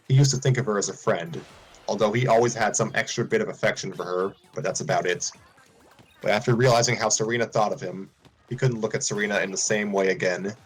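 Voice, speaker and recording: A man reads a fan story aloud in a casual way, often tripping over words and starting lines again. His voice sits low with a husky grain. Soft music plays faintly behind him. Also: rough recording. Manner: frequent stumbles and restarts. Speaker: streamer reading fan fiction aloud.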